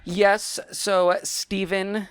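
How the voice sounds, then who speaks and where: A young adult man co-hosting a casual podcast, teasing his partner with deadpan jokes. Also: sits high, slow, smooth, no room sound. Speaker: podcast co-host. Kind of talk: casual chat.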